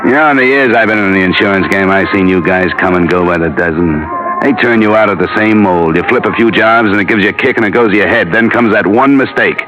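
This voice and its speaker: Casting: man playing hardboiled investigator, very low voice, terse and wry, old radio noir, music behind. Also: fast, close to an everyday voice. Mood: hard, threatening. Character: hardboiled, world-weary male insurance investigator, tough and wry. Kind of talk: 1940s-50s radio noir acting and narration. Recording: muffled old radio broadcast, loud music.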